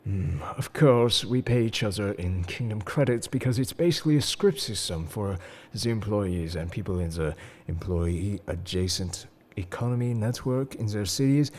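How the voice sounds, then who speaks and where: A man playing a suave, enigmatic, quietly world-weary secret agent in an intimate, flirtatious audio roleplay, speaking to the listener in a coaxing, theatrical way. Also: fairly low pitch. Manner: smooth-talking, coaxing, theatrical. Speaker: voice actor in fan audio roleplay.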